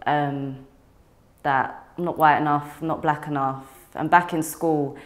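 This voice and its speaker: Low-voiced young woman, frank and relaxed. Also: slow. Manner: frank, relaxed, laughs easily, many ums. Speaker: interviewee. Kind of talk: casual interview chat.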